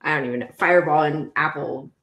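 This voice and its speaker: A woman's voice: sits low, fairly bright tone. Laughing woman in her thirties, echoey sound. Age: 30s. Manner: laughs often, quick short interjections, playful. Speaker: podcast co-host and youth weightlifting coach. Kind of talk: casual podcast chat. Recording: roomy echo.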